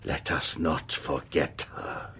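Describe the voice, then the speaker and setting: An actor playing a pompous, sinister, possessive English lord of a manor in a radio drama, speaking in measured, theatrical oratory with a husky voice. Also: male voice, pushed and bright. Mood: pompous, solemn.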